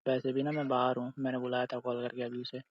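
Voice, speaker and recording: A man's voice: mid-range voice, completely flat delivery, fast, no echo. A young man talking casually on a recorded phone call, defensive and dismissive. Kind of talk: casual phone argument with sister.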